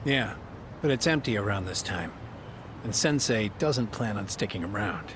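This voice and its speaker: An actor playing a young, evasive go-between in a video game cutscene, curt and noncommittal yet deferential. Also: male voice, on the low side, lively intonation, normal speed, background noise, lightly acted. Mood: guarded.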